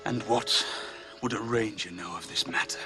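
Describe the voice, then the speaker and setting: A proud, scornful warrior noble played by a man speaks in epic fantasy film style. His voice is on the low side, formal and intense. Loud music swells behind every word. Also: moderate pace, lightly acted.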